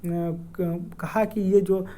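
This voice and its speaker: A man with a Jharkhand accent giving an informal explainer in a halting, hesitant way, with many filled pauses and repeated words. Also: high-pitched, fairly even intonation, warm tone. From Dumka district, Jharkhand, India. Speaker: educational video creator. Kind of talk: informal explainer with screen walkthrough.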